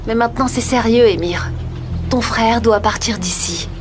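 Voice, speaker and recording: A woman acting a calm, scheming and controlling character in a French-dubbed soap. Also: on the high side, moderate pace, close to an everyday voice. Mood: urgent warning. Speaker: dubbing actor. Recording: music under the dialogue.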